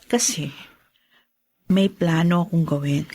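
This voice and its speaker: A woman's voice: lowish voice, slow pace, mellow, slightly rough, exaggerated voice. An actress playing a polite but determined grieving young woman in a radio drama. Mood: confiding. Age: young adult.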